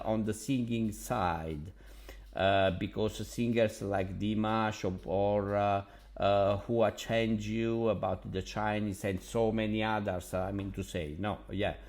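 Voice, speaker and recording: A man from Naples with an Italian accent, a language tutor talking casually about languages in a halting, enthusiastic way with many fillers. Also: on the low side, steady tone, a little mellow. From Naples, Italy. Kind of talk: casual vlog monologue.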